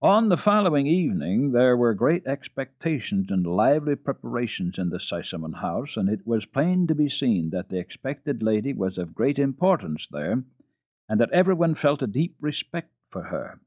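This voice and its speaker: A man narrating a classic children's story dramatically, in an expressive, measured storytelling manner. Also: medium pitch, dry recording. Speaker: storyteller, children's radio program host.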